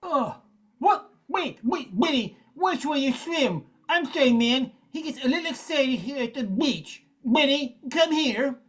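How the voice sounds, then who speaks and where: A woman's voice: high voice, lively intonation, a little slow. A woman playing a friendly but harried male dog owner, polite and apologetic, in an amateur radio play. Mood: exasperated.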